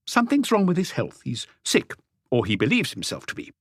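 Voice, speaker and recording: A man voicing an eager, precise, excitable male handwriting expert explaining things in an audiobook. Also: a little high, a little fast, dry recording, studio quality, pitched above the performer's own voice, exaggerated voice.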